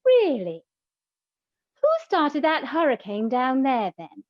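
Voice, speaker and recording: An actress playing a naive, dramatic goddess of love in a comic radio play, speaking with theatrical incredulity, on a slightly dull, roomy recording. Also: female voice, high voice, big pitch swings, slow pace, full character voice. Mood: incredulous, concerned.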